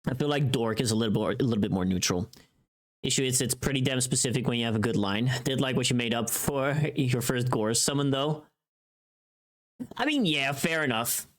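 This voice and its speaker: A woman hosting a casual livestream, chatting with viewers in a playful, teasing, sweary and expressive way. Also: rumbling low, brisk. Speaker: livestream host.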